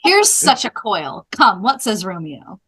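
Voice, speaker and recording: A woman reading the part of an impatient, pleading, lovestruck young girl from a Shakespeare play in measured verse. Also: mid-range voice, sing-song, sharp tone, breathy edge, full character voice. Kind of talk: Shakespeare play read aloud.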